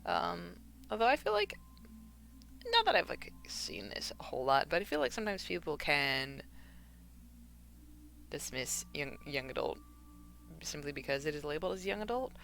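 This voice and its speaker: A young woman streaming from home while she knits, chatting casually and rambling a little, her voice gravelly and slightly hoarse. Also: deep voice, sing-song, normal speed, long pauses, a bit bright, slight background noise. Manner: rambling, chatty, with fillers and laughs. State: a bit hoarse from not talking for hours. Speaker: craft streamer. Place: at home, alone.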